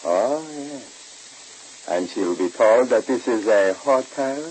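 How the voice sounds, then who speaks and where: An actor playing a sly, oily keeper of a shady house, measured and insinuating, in 1940s radio soap style. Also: male voice, mid-range voice, expressive, a little mellow, poor audio. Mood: sly, amused. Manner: insinuating, measured, smugly amused. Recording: hissy old radio recording.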